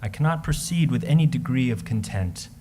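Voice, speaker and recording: Ardent young Romantic poet played by a man, medium-pitched, for staged love letters, faint noise behind. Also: animated, a little fast, darkish tone, lightly acted. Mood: yearning. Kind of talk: readers theater love letter.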